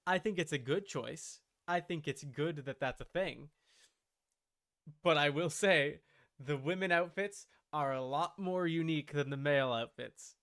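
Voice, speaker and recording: A man's voice: on the high side, unhurried, a bit bright. A man giving casual gaming livestream commentary in short, playful asides, as if talking to the game and chat. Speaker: livestream host.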